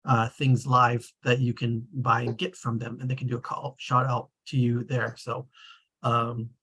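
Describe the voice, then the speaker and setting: A casual online host with a slightly rough, husky voice at a middling pitch. The delivery is informal and conversational, like someone steering a group read-through. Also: flat delivery, a little slow, a bit bright.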